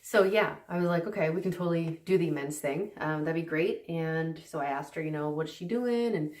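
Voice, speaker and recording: A woman vlogging casually at home, rambling with many fillers and restarts, sometimes keeping her voice hushed. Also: a little fast. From Canada. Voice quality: low voice. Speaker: recovery vlogger. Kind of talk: casual unscripted talk to camera. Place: on the stairs at home, indoors. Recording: echoey, mic some distance away.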